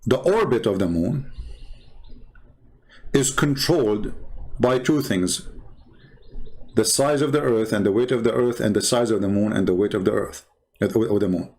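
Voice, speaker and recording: A man speaking English as a second language with a non-native accent, giving improvised commentary as a host, haltingly and repeating key words for emphasis. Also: on the low side, a little mellow, breathy edge. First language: not English. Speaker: host and religious debater. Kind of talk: improvised commentary over visuals.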